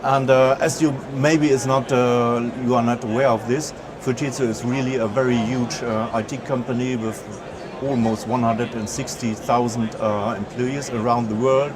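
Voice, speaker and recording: A man from Germany with a German accent, giving a conference presentation, pausing often with filler sounds and restarting sentences. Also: medium pitch, steady tone, a crowd in the background. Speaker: conference speaker.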